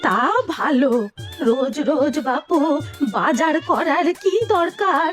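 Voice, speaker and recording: Stingy old village grandma for a cartoon, high voice, drags her words out, naggy and sharp tongued. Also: animated, slow, faint music behind. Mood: grudging. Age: older. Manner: sharp-tongued, scolding, sarcastic. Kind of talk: Bengali cartoon voice acting.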